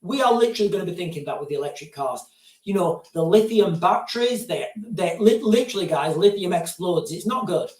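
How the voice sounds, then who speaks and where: Male streamer, high voice, loose digressive chat, set back from the mic in a roomy space. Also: lively intonation, a little fast, a little mellow. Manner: digressive, keeps addressing viewers as guys. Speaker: live-stream host answering viewer questions. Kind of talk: casual rambling live-stream chat. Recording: roomy sound, distant from mic.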